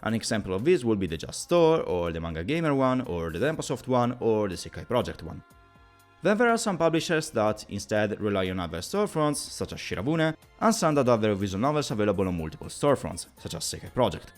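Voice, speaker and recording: A man's voice: fairly low pitch, sing-song, quick pace, soft background music. A man giving a scripted, lecture-style explainer as a video presenter, with wry, teacherly asides.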